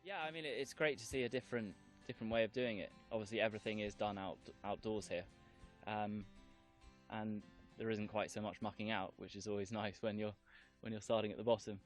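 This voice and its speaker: A young man, a trainee at a horse stud, answering interview questions in a relaxed, hesitant way. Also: lowish voice, a little fast. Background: background music.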